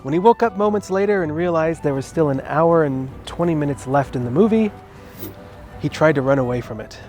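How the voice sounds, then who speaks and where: A man's voice: on the high side, animated, a little fast, a little mellow. A man hosting a comedic movie review, reading scripted narration in a sarcastic manner. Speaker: host of a movie review show. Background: background music.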